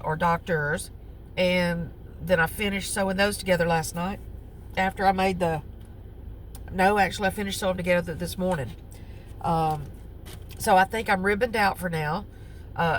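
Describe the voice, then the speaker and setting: Southern woman vlogger, lowish voice, loose rambling chat, faint outdoor hum and cars behind. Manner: rambling, chatty, many ums and self-corrections. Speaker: crochet vlogger. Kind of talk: casual chat to camera. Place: outdoors on a lunch break.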